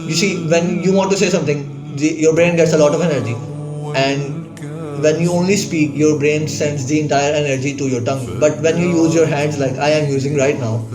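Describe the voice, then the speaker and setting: Young man, earnest casual advice, mic set back, nasheed vocals clearly under him. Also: a little high, steady tone, brisk. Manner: earnest, repeats "bro" and "like", small restarts. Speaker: student giving self-help advice. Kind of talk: casual advice talk to camera. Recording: distant mic with clear background music.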